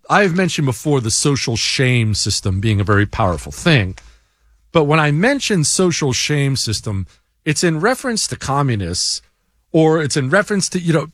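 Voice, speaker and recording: A man's voice: fairly high pitch, fairly bright tone, no room sound, studio quality. Blunt, combative male talk show host. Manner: blunt and combative, stammers on restarts. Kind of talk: opinionated talk show monologue, reading listener emails.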